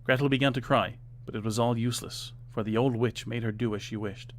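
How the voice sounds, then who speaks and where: A man's voice: medium pitch, steady tone, a little fast. A man reading a fairytale aloud as scripted storybook narration.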